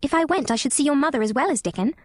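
A woman's voice: high-pitched, expressive, rapid-fire, dry recording, studio quality, voice pushed up. A woman voicing an earnest, eager and curious little girl in a storybook reading. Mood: anxious, wondering.

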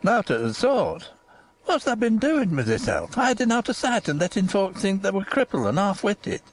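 Woman voicing a crabbed old Yorkshire gardener, swooping pitch. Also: sing-song, normal speed. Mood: gruff, scornful. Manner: testy, dry, dialect speech.

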